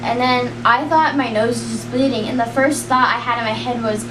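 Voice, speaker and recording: A young woman telling a personal story in a rambling, casual way, full of "like" and quick laughs. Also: fairly high pitch, steady tone. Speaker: storyteller guest. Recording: roomy, distant, noisy.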